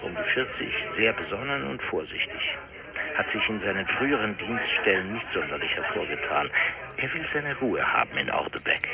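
An actor playing an erudite middle-aged police officer in a radio crime drama, gravelly and lecturing in a pedantic way. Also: male voice, lowish voice, very animated, normal speed, dull audio, over a noisy crowd. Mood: informative, measured.

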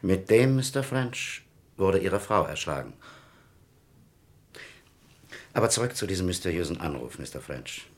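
A man's voice: sits low, animated, fairly quick. A man playing a dry, insistent police captain in a German crime radio play, questioning people formally and pointedly. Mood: brisk, insistent.

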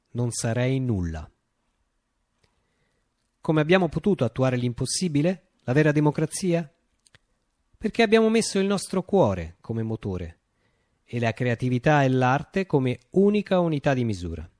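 A man's voice: mid-range voice, a little mellow, dry recording, studio quality. Man acting a declamatory, visionary revolutionary leader, swooping intonation. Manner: declamatory, with deliberate dramatic pauses. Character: visionary utopian revolutionary leader, poetic and grandiose. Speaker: actor performing a radio monologue. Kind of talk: theatrical radio drama monologue.

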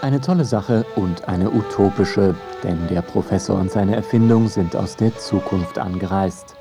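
A man's voice: low-pitched, unhurried, over music. A man narrating a scripted radio feature in a wry storytelling way.

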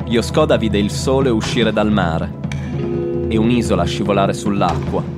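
A man giving a dramatic literary reading of a story, in measured, incantatory phrases with deliberate pauses. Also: on the low side. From Italy. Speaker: author reading his own texts. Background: live guitar music.

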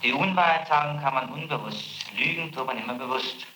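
A man's voice: mid-range voice, fairly quick, roomy sound, noisy background. A male prosecutor questioning a suspect, insistent and lecturing as he presses for the truth. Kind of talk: archival interrogation recording.

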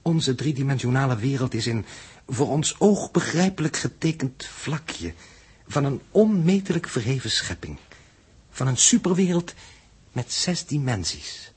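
An actor playing a coolly fatalistic, learned mathematician aboard a spaceship, lecturing in formal, pedantic phrases in a 1960s Dutch radio drama. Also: male voice, medium pitch, sing-song, measured pace, darkish tone, breathy edge, heavily acted. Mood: enthusiastic lecturing.

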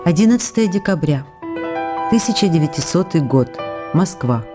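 A woman's voice: fairly low pitch, animated, normal speed, natural voice. An actress playing a young woman writing tender, longing love letters, read in an intimate, emotional way, with faint music behind. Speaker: letter reader. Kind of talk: radio drama letter reading. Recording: reverberant studio with faint music.

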